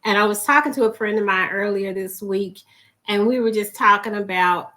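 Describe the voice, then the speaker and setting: A woman hosting a faith broadcast, hoarse and losing her voice, often clearing her throat as she gives a reflective, encouraging talk. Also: medium pitch, normal speed, pushed and bright.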